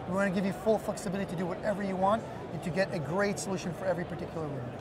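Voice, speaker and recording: A man's voice: high voice, fairly even intonation, racing. A man in his thirties explaining a product as an executive being interviewed at a busy trade show booth. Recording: loud busy trade show floor noise.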